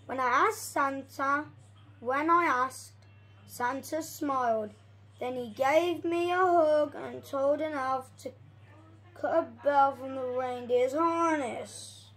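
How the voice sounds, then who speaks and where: A young reader reading a storybook aloud haltingly, stumbling over and repeating words. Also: high voice, a bit bright, rough recording.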